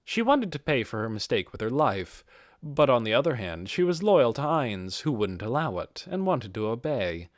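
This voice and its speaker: A man's voice: mid-range voice, very animated, normal speed, no echo, clean studio sound. A man reading fantasy fiction aloud as an audiobook narrator, in a scripted storytelling style.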